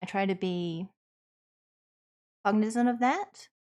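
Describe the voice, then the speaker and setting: A woman livestream host with a New Zealand accent, talking to her chat in an earnest, measured and thoughtful conversational way. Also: mid-range voice, a little mellow. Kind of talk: conversational livestream Q&A, partly reading chat aloud.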